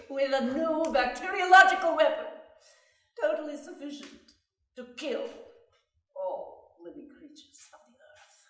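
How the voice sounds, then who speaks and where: Woman as a bright, declamatory satirical doom chorus. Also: mid-range voice. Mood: mock-scientific. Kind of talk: theatrical dramatic reading. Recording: very echoey room, distant mic, noise.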